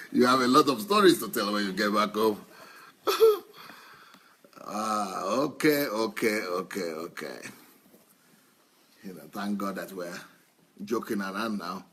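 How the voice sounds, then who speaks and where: Playful pastor man, lively and bright. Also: fairly low pitch, slight background noise. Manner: playful, jokes and laughs often. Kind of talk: teasing church talk before a sermon.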